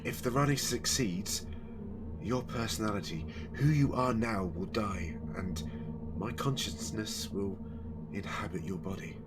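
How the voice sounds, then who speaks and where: A man playing an anguished, earnest, protective time-traveller hero in an audio drama, halting when distressed. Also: fairly low pitch, heavy background noise, lightly acted. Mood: grave explaining.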